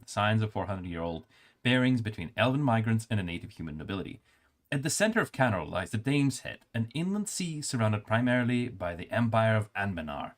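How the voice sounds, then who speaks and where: A male streamer with a calm, soothing voice, reading game lore aloud and adding casual remarks. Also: on the low side.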